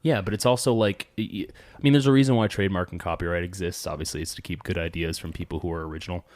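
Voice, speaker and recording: A podcast co-host debating with a low, steady voice. He restarts his sentences and presses his argument, and the sound is dry and close to the mic. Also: fast talker, no echo. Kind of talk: casual debate.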